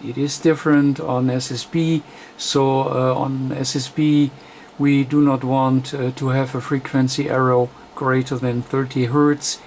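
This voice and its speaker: Slow, halting man with a voice. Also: mid-range voice, level tone, darkish tone, a little hiss or hum, poor audio. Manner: halting, hesitant delivery in short broken phrases. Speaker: radio repair technician presenting a tutorial. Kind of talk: unscripted bench demonstration commentary.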